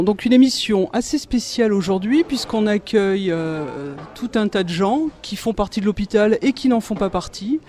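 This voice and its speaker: A man with a high-pitched voice hosts an informal radio discussion. His tone is mellow and relaxed as he presents to the group. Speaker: radio host.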